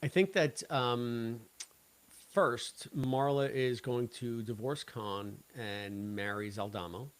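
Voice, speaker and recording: Male podcast co-host, average pitch, wry and joking in casual review chat. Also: slow. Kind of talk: casual review chat about an audio drama.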